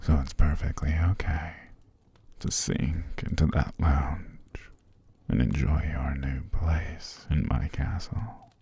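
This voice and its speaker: Male actor as possessive vampire master, ASMR roleplay, very husky whispery voice, dark tone, unhurried, formal, soothing yet controlling. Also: a bass voice, full character voice. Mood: commanding, reassuring. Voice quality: breathy, gravelly, often sinking to a whisper.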